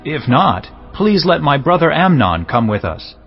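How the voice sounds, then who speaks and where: An actor playing a resolute, brooding prince with controlled authority in a dramatized audiobook. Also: male voice, a little high, big pitch swings, measured pace. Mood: courteous, urging. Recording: slightly dull audio with music bed.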